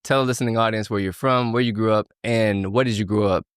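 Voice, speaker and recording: A man hosting a podcast interview, asking questions in a friendly, measured way. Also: fairly low pitch, steady tone, clean tone, no room sound, clean studio sound. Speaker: podcast host.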